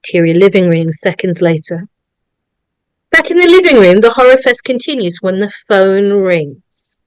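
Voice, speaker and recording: A woman's voice: mid-range voice, expressive, moderate pace, dry recording. A woman narrating at a script table read, reading out screenplay stage directions.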